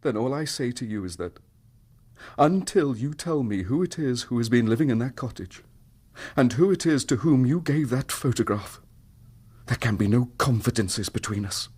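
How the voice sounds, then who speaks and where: An actor playing a troubled, proud Victorian husband in a radio drama, with a British accent and an earnest, formal, wounded manner. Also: male voice, mid-range voice, lively intonation, normal speed, darkish tone, breathy edge. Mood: cold, bitter. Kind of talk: radio drama acting, narrating his own story.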